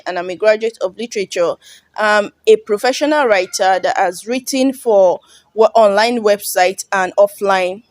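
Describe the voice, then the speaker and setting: A woman from Nigeria, speaking English with a Nigerian accent and Yoruba as her first language, talks through a web form in a relaxed, chatty way. She keeps checking in with "you understand?" as she goes. Her voice sits at a middle pitch and sounds dry and close. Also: dry recording. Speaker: online tutorial host. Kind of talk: casual screen-recording walkthrough.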